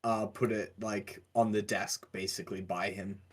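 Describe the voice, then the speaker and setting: A man playing a tabletop roleplaying game, casually and haltingly describing what his character does. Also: on the low side, little pitch movement.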